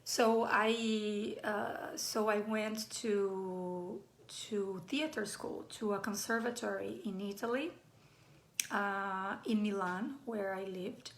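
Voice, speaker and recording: Slow, gravelly Brazilian woman. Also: mid-range voice, a little hiss or hum. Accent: Brazilian Portuguese. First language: Brazilian Portuguese. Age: 40s. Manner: hesitant, many fillers and restarts. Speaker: playwright giving a talk. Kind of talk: live online presentation. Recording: roomy echo.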